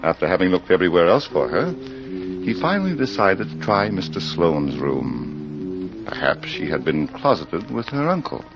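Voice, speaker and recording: An actor playing an ominous crime club librarian who narrates in a theatrical, suspenseful 1940s radio mystery style. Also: male voice, low-pitched, sing-song, music in the background. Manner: theatrical, suspenseful, deliberate phrasing. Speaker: host and narrator. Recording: muffled, very noisy old radio recording.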